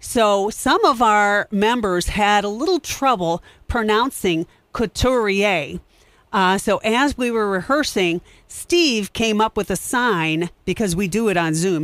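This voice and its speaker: A woman's voice: mid-range voice, slow, a bit bright, no room sound. A woman hosting as a theatre director, giving a casual spoken introduction to a show. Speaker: host, theatre company director.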